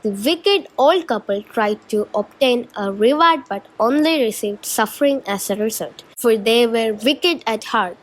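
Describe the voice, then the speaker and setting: A woman's voice: fairly high pitch, expressive, a little slow, few pauses. A woman reading scripted storybook narration for a shadow puppet show. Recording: noisy amateur recording.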